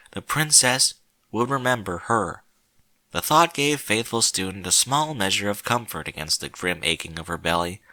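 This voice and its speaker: A man narrating a story in a slow, solemn, dramatic way with deliberate pauses. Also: fairly low pitch, pushed and bright, no room sound.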